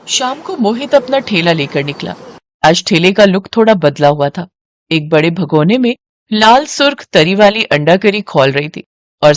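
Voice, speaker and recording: Lady narrating a moral story, really lively pitch swings, dry close sound. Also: no echo.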